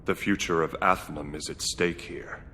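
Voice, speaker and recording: Stern game retainer, very low and forceful, solemn, in a reverberant hall. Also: male voice, fairly even intonation, normal speed, a little hiss or hum. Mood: grave, concerned. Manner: formal, grave. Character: stern male retainer to a lord, cautious and solemn. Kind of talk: video game cutscene acting.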